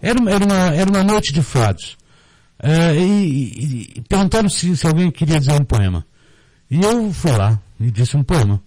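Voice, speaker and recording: A middle-aged man with a Brazilian Portuguese accent, explaining in a casual radio interview in a halting way, often repeating words. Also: fairly high pitch, big pitch swings, darkish tone, no room sound, low-quality recording. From Brazil, born in Rio de Janeiro, raised in Recife. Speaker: guest, storyteller and theatre group director. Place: radio studio, dry close sound.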